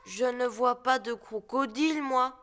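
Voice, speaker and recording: A woman voicing a mild, hesitant little boy animal in a French cartoon, gentle and childlike. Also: on the high side, unhurried, heavy background noise, natural voice. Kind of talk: French cartoon dub acting.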